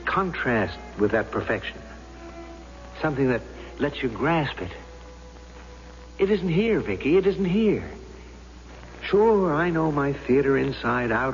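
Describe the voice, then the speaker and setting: A man's voice: medium pitch, close to an everyday voice. An actor playing a doubtful, theatrical man who is a reluctant juror, in a brooding, questioning radio drama style. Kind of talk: 1970s radio drama acting. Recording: dull old radio recording with music.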